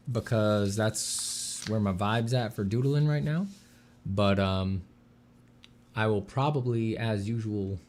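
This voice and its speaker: A man streaming while he draws, chatting casually with dry deadpan jokes and a slight slur from a sore mouth. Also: on the low side. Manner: dry deadpan joking, relaxed and rambling. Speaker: artist streaming a drawing session. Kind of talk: casual livestream chat.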